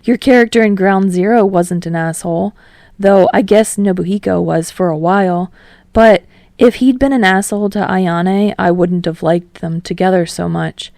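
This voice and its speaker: An earnest young man voiced by a female reader in an audiobook story. The tone is warm and relaxed with some fry, in a very dry, close recording. He talks casually and amiably, coaxing along with open curiosity. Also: medium pitch, moderate pace, no room sound, lightly acted. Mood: sincere. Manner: casual, upbeat, gently coaxing.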